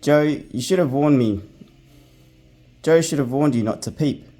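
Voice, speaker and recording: A hot-tempered little male pixie bursts out in indignant shouts, his voice pushed up and loud, as a man reading a storybook puts him on. The tone stays dark and mellow underneath. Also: a little high, a little slow, pitched above the performer's own voice. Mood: furious. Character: angry little male pixie, quick to rage.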